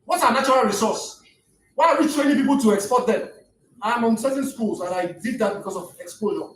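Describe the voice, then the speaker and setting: Fast, high-voiced Nigerian man, Yoruba native, giving a fervent lecture from far off the mic in an echoey hall. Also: lively intonation. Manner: impassioned, rising to near shouts, stammering restarts. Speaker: guest lecturer. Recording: echoey room, distant mic, faint noise.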